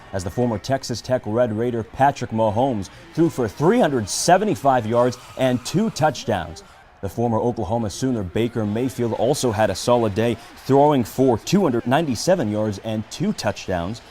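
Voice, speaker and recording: A young man narrating sports news packages and reading a sportscast in a steady scripted delivery. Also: mid-range voice, normal speed, a little hiss or hum. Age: young adult. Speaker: student sports reporter.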